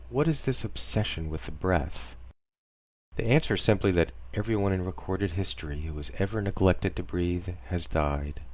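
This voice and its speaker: A man narrating a scripted parody guided meditation in a deadpan, soothing instructional manner. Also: low-pitched.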